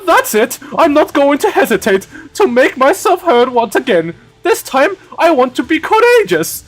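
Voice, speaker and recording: A man voicing an earnest, excitable young man who gets flustered, in a strained, emphatic voice with an attempted German accent, for a game. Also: moderate pace, faint music behind. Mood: indignant, eager. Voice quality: strained, high-pitched.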